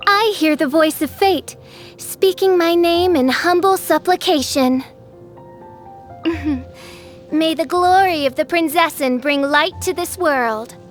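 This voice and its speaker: Video game role: high-voiced teen girl, pompous dark-royalty act, archaic theatrical delivery, music underneath. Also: slow pace. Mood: grandiose. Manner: grandiose, pompous archaic speech. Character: theatrical teenage girl styling herself a dark princess. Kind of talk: scripted video game character acting.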